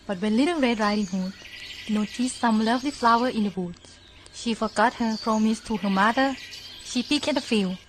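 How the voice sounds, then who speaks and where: A woman's voice: fairly high pitch, moderate pace, slight background noise. A woman narrating a scripted children's story as moderator.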